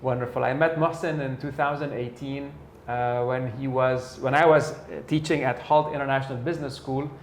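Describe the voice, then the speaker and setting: A man's voice: mid-range voice, fairly even intonation, normal speed, few pauses, a little hiss or hum. A man hosting an interview, talking conversationally with frequent fillers. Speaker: interview host and sales trainer. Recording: echoey room, faint ambience.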